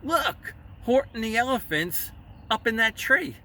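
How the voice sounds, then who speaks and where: Man doing high, jeering storybook animals. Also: sing-song, a little slow, distant mic, a little hiss or hum, full character voice. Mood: gleeful, taunting. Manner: taunting, shouted. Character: mocking, gleeful animal friends jeering at an elephant.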